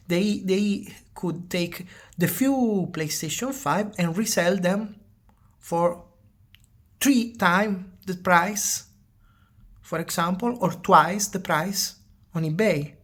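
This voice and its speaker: An Italian-accented woman talks to her channel's viewers in English, her second language. Her voice sits low for a woman and she moves at an unhurried pace, pausing and repeating words as she finds the next phrase. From Italy. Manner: hesitant, often repeating words and restarting phrases. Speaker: channel host. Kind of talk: casual spoken commentary.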